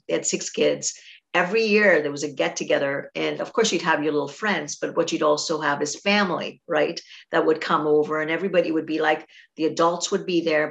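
A woman's voice: low voice, vocal fry. A middle-aged woman from Trinidad hosting a podcast, chatty and storytelling, talking over a remote call. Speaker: podcast host. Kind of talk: conversational interview.

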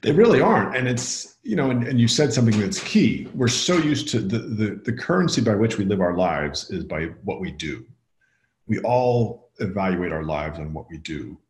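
A man with a very low voice talks through his ideas in a reflective, earnest way. He is a guest author on a conversational podcast. His voice rings with the echo of the room around him. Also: very animated, moderate pace. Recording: echoey room.

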